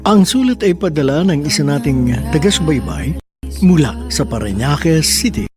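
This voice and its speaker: Casting: male radio drama host, Filipino accent, raised pitch, sentimental storytelling over a music bed. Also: big pitch swings, moderate pace. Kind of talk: dramatic scripted narration, letter reading. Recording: radio broadcast with music underneath.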